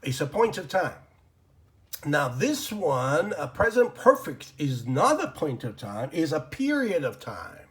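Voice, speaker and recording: A man whose first language is Persian teaches English grammar from his desk at an unhurried pace. His voice sits a touch high and swings widely in pitch. He rambles, fills pauses with ums and uhs, and mutters to himself as he draws on the board. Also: sing-song, a bit bright. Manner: rambling, lots of fillers and self-talk while drawing. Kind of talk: informal grammar lesson.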